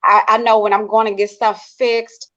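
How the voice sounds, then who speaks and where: An American woman in a casual panel discussion, speaking in an earnest, expressive, conversational way. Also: mid-range voice, moderate pace. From United States. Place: online video call. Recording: dull, lo-fi online call.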